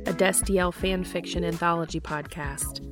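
A woman hosting and narrating fan fiction in a scripted, expressive storytelling read. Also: fairly low pitch, normal speed, music in the background. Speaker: podcast host and reader.

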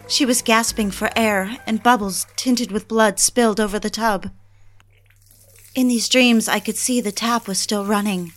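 A woman's voice: on the high side, lightly acted. An actress playing a wistful, obsessive young newlywed who confides her story in genteel, literary dramatic narration. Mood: haunted. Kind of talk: first-person dramatic narration for an audio drama. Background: background music.

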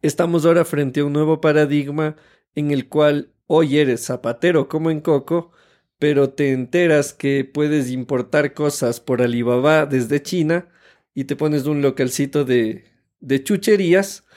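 Higher-voiced Ecuadorian man, podcast host. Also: steady tone, unhurried, a little mellow. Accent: Ecuadorian Spanish. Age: 30s. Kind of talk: conversational podcast chat.

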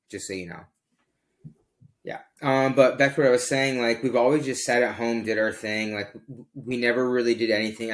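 A man's voice: mid-range voice, a bit bright. A man in his early thirties co-hosting a podcast, chatting casually and rambling with fillers. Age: 30s. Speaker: podcast co-host. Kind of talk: casual chat.

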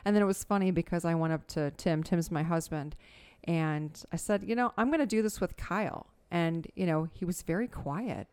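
Soothing low-voiced woman on a dry mic. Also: moderate pace, darkish tone, clear, resonant tone, no room sound. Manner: easygoing, laughs often. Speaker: podcast co-host. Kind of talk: casual podcast chat. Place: home dining room.

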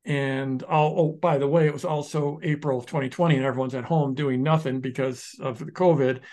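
A man co-hosting a business podcast, telling stories in a lively, restless way and restarting his sentences often. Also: mid-range voice, fairly quick. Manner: animated storyteller, restarts sentences, shifts pace often. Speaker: podcast co-host, online business founder. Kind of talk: casual podcast conversation.

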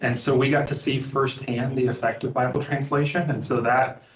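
A man's voice: mid-range voice, level tone, moderate pace. Middle-aged man on a distant, roomy line. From Liberia. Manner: thoughtful, links ideas with "and so". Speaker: podcast guest. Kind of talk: interview answers. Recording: distant, roomy, dull remote call audio.

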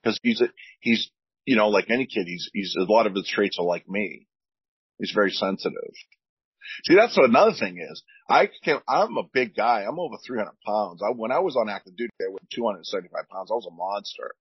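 A veteran man with a deep, husky voice talks earnestly on a podcast and often repeats himself while he searches for words. The sound is dry and a little dull. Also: lively intonation, fairly quick, no echo. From United States. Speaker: veteran guest. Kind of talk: candid podcast talk.

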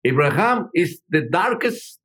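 A man's voice: on the high side, very animated, unhurried, a bit bright, breathy edge. Older man, rambling storyteller. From Peru. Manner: rambling, frequent you know and restarts. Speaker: musician guest. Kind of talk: storytelling interview answers.

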